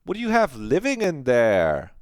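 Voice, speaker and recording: A man voicing a nervous, flustered teenage girl in a visual novel, in a high put-on voice. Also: huge pitch swings, normal speed, no room sound, clean studio sound. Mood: disgusted.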